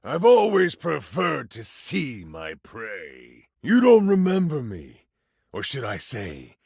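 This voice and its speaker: A man voicing a vengeful, theatrical tiger-man villain in a video game, raspy and grandiose. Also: mid-range voice, huge pitch swings, slow pace, no room sound, clean studio sound, voice pushed down, full character voice. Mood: brooding, menacing. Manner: grandiose dramatic monologue, swinging from menacing hush to outraged outbursts. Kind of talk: video game character acting.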